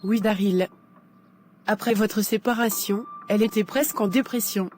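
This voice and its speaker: A woman's voice: medium pitch, fairly even intonation, normal speed, darkish tone, soft background music, close to an everyday voice. A woman voicing a frank young cartoon friend. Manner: direct, sympathetic.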